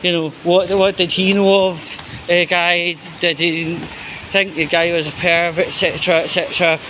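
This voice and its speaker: A Scottish man giving unscripted solo vlog commentary in a halting, hesitant, rambling way. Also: sits high, level tone, noisy background. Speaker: vlogger.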